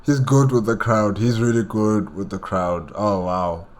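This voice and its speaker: A man's voice: on the low side, steady tone, a little slow. A man hosting a reaction video, making short amused remarks. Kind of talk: casual reactions.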